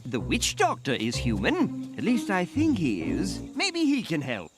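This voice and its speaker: A man's voice: on the high side, huge pitch swings, a little slow, loud music behind the voice, full character voice. A man voicing a sensible, fussy talking ape in a cartoon, an articulate and patient mentor. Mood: wry. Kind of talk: cartoon voice acting.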